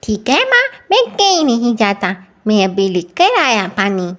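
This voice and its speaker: A woman's voice: a little high, huge pitch swings, a little slow, a bit bright, exaggerated voice. A woman voicing an earnest, anxious young village boy with childlike, plaintive delivery in a moral story cartoon. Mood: eager.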